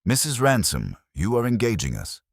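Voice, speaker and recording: A man's voice: lowish voice, wildly swooping pitch, normal speed, creaky, no room sound, studio quality, pitched above the performer's own voice, full character voice. A man voicing an imperious, exacting genius detective, pompous and formal, in an audiobook. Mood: commanding.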